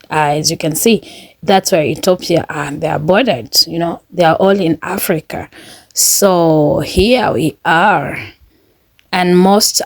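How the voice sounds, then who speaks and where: A woman with a lowish voice talks through a reaction video in a chatty, thinking-aloud way, laughing often. The sound is dry and close. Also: no echo. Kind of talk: casual reaction commentary.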